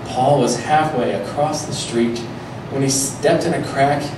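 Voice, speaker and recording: A man's voice: mid-range voice, steady tone, measured pace. Guy reading a joke kids story live, echoey noisy room, mic kinda far. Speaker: comedic storyteller. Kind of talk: live reading of a mock children's rhyme. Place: live venue stage before an audience.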